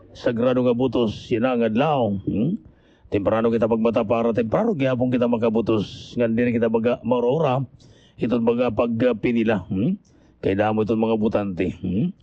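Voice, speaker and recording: A man's voice: medium pitch, dark tone. A man with an Eastern Visayan Waray accent hosting early morning radio, chatting casually to listeners with questioning tags. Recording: dull AM radio broadcast.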